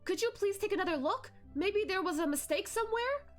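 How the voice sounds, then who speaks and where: A woman's voice: extremely high pitch, lively intonation, brisk, voice pushed up. A woman voicing an anxious, impatient young male cultivator from a story, with urgent, disbelieving exclamations and questions. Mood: shocked disbelief. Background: music behind the voice.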